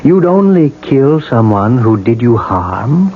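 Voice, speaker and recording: An actor playing a calm psychiatrist, measured and questioning, in a 1970s radio drama. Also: male voice, medium pitch. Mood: calm, probing. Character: calm, probing male psychiatrist. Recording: muffled, hissy 1970s radio recording.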